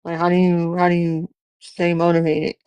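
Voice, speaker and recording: A man's voice: a little high, completely flat delivery, normal speed, darkish tone, clear, resonant tone, dry recording. A man in his twenties hosting a podcast, earnest and encouraging, telling stories from his own start as a barber. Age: 20s. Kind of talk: casual interview chat.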